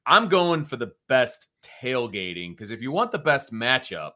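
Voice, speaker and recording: A man's voice: medium pitch, big pitch swings, moderate pace, pushed and bright. A man co-hosting a sports talk show, chatting casually with emphatic opinions.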